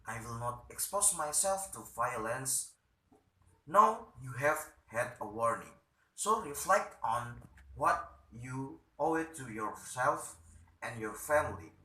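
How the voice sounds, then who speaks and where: A man plays a pompous town official in a stage drama, stern and threatening. He scolds formally and haltingly at a slow pace, with a hard, bright edge. The recording is roomy and echoey. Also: mid-range voice, sing-song, exaggerated voice.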